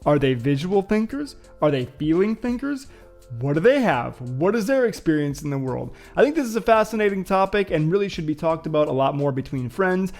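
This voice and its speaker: A man's voice: a little high, darkish tone. A man hosting a casual vlog, musing and thinking aloud to viewers with frequent fillers and hesitations. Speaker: vlogger host. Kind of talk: casual reflective vlog talk.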